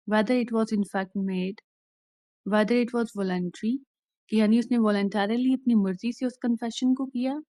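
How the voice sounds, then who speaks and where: A woman with a Pakistani accent giving an explanatory law lecture in a deliberate, instructional way, restating each point plainly. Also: a little high, warm tone, dry recording. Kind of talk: explanatory lecture mixing Urdu and English.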